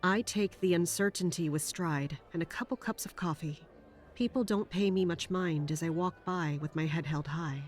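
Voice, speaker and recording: A woman's voice: lowish voice, moderate pace, natural voice. A woman playing a stern, vigilant young guard in an audio drama, serious and matter-of-fact. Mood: watchful. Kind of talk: audio drama narration and dialogue.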